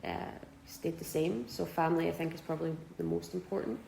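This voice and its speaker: A young Scottish woman in her twenties talks through interview answers, pausing often with fillers and restarts. Her lowish voice has a gravelly fry, and the room echoes around her. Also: steady tone, dark tone, slight background noise. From Scotland. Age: 20s. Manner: hesitant, many fillers and restarts.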